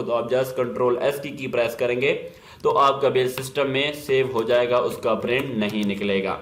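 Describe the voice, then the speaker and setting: Man, medium pitch, instructional demo narration, explanatory, frequent English business terms. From Pakistan. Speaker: software tutorial presenter.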